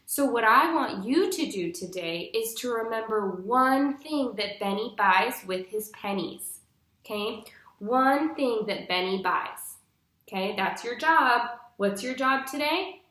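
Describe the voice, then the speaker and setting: A young woman reads a storybook to children in a teacher's instructive way. Her pitch moves up and down a lot, and she takes her time over each phrase. The sound is echoey, recorded in a room a little way from the microphone. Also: expressive, slow, fairly bright tone. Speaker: teacher reading aloud to pupils. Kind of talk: picture-book read-aloud for young children. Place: small living room, indoors. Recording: roomy indoor echo.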